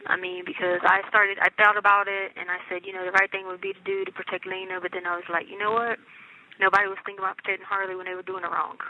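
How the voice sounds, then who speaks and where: A woman's voice: mid-range voice, level tone, rapid-fire. American woman on a tinny phone line. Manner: colloquial, insistent, repeatedly checks she is understood. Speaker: inmate on a jail phone call. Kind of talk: casual personal phone conversation. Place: recorded jail phone call. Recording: tinny, narrow telephone line.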